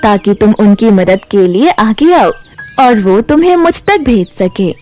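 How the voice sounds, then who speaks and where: A solemn magical river spirit from a cartoon fairy tale, voiced by a woman, speaks with measured calm. The voice sits lower and assures the listener with grave authority, with faint music behind it. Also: natural voice. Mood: solemn, assuring.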